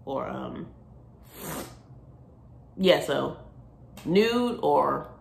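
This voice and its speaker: A young woman, vlogging in casual, rambling chat from her kitchen and car; her voice is deep from just waking up. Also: animated, very slow, gravelly, breathy edge. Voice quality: deep morning voice. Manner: rambling, chatty, self-interrupting. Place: home kitchen, then parked car. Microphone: phone camera at arm's length.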